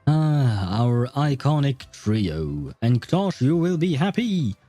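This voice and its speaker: Mellow-voiced young male game streamer. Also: mid-range voice, big pitch swings, slow, soft background music. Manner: chatty, laughing, swearing. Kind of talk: casual gameplay commentary.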